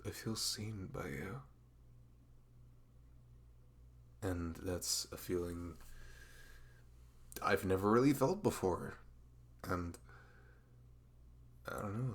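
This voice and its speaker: A man playing a dorky, smitten young best friend in an intimate ASMR roleplay, speaking in a halting, awkward, rambling way. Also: deep voice. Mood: tender.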